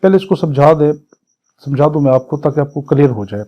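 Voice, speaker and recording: A man's voice: on the high side, lively intonation, fairly quick, lo-fi sound. A man with a Pakistani accent lecturing for a law exam, reading clauses and explaining them in a didactic, repetitive, question-and-answer way.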